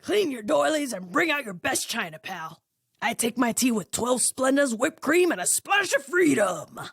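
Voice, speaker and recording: A woman's voice: high-pitched, very animated, moderate pace, creaky, a bit bright, husky, dry recording, studio quality, pitched above the performer's own voice, exaggerated voice. A woman voicing a cheeky, wheedling male poltergeist in an audio story, with a sly, slangy drawl. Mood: cocky. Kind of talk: fan fiction audio story character voice.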